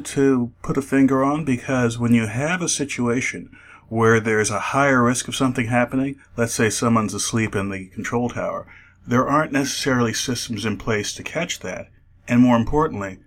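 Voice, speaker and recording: A man speaking as an expert guest in a radio interview, explaining in a measured, careful way. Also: mid-range voice, a bit bright.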